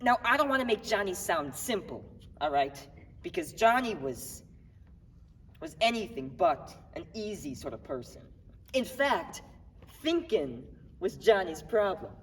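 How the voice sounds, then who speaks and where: A grieving, streetwise baker played by a young man in a theatrical monologue, heard from far off in a reverberant hall. He is defiant and wry, agitated and halting, with a voice that swings widely in pitch. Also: sing-song, a bit bright, slight background noise, full character voice. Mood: fond, troubled. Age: young adult. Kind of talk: dramatic monologue at a speech contest. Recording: echoey hall, recorded from far off.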